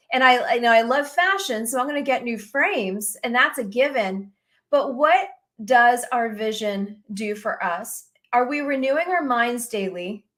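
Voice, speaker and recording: Earnest high-voiced woman host in her forties. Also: fairly bright tone. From United States. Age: 40s. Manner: earnest, preachy, rhetorical questions. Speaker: radio show host. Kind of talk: faith-based talk monologue.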